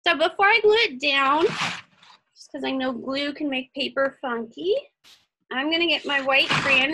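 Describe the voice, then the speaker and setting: A woman's voice: high voice, bright, forceful tone, slight background noise, rough recording. A woman giving a casual step-by-step craft tutorial, chatty and encouraging, thinking aloud as she works. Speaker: craft instructor.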